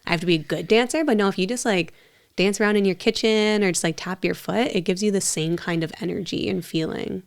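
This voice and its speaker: A woman co-hosts in a casual conversational style. Her voice is clear and smooth, at a medium pitch. She phrases things informally. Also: fast. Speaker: podcast co-host. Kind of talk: casual chat.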